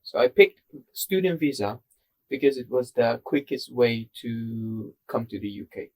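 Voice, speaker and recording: A young Iranian man chatting casually on a podcast in his second language. He hesitates often, filling pauses and correcting himself mid-sentence. His voice sits at a middle pitch. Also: a little mellow, low-quality recording. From Iran. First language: not English. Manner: hesitant, with many fillers and self-corrections. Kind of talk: casual chat.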